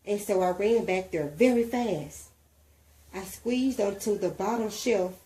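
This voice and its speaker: Female storybook reader, unhurried and expressive, with a soft mellow tone. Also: mid-range voice, rough recording. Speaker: reader, mother. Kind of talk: expressive storybook reading aloud.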